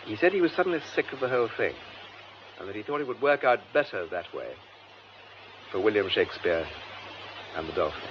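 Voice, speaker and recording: An actor playing a composed, methodical English police superintendent in a radio drama, with measured, formal, precise delivery. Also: male voice, lowish voice, expressive, lightly acted. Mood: probing, composed. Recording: muffled lo-fi radio drama, faint noise.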